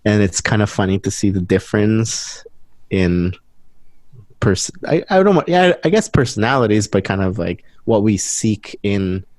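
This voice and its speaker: A young man from Guatemala talks in a low voice, recorded close and dry. He is an interview guest speaking casually, often restarting and feeling around for the right word. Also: sing-song, darkish tone, no room sound. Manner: halting, searching for words, informal.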